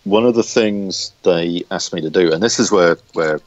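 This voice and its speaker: A man with a very low voice talks casually in an interview as a comic writer. His delivery is halting, with words repeated as he goes. The sound is dull and lo-fi, captured on a phone. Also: dry recording. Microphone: smartphone recorder.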